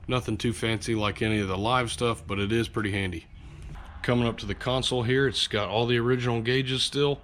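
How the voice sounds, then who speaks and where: A man with a slightly low voice talks in a relaxed, chatty way, sniffly from a light cold. He hosts an outdoors vlog and fills his talk with easy fillers. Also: a little hiss or hum. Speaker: outdoors channel host. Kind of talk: casual walkthrough vlog. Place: home garage.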